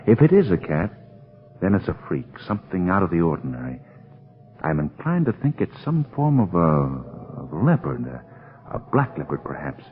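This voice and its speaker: An actor playing a bold, protective adventurer in a 1930s radio drama, terse and commanding, on a muffled old recording. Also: male voice, low-pitched, huge pitch swings, normal speed. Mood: resigned, watchful. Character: bold, brusque, protective male adventurer hunting a beast.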